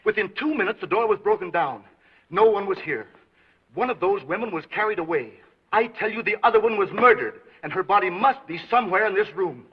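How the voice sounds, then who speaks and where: An earnest young man pleading urgently with the authorities. His voice is high and husky, and it rises and falls a lot. The audio is muffled like an early talkie. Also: animated, a little fast. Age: young adult. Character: earnest young man accusing a mad doctor. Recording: muffled 1930s film soundtrack.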